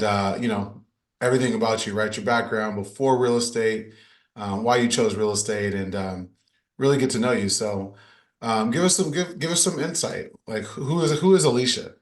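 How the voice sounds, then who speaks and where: A man hosting an interview show in a casual, conversational way. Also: fairly low pitch. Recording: roomy echo, faint music behind.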